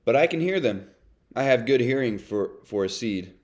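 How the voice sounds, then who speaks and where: A man's voice: mid-range voice, lively intonation, normal speed, close to an everyday voice. A man reading a children's storybook as a brooding, self-confessed bad sunflower seed, in a dramatic, confessional way. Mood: grumpy, confessional. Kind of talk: children's read-aloud narration.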